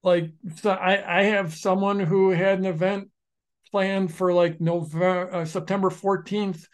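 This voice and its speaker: A man with a slightly raised voice co-hosts a casual podcast conversation. He tells stories animatedly, speeding up and slowing down and restarting sentences as he goes. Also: high voice. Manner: animated storyteller, restarts sentences, shifts pace often. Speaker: podcast co-host, online business founder.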